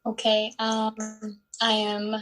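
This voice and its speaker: A woman in her twenties, born in Bosnia and Herzegovina, answering interview questions in an unhurried way with many pauses and fillers. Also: a little high, monotone, a bit bright. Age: 20s. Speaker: guest, student athlete.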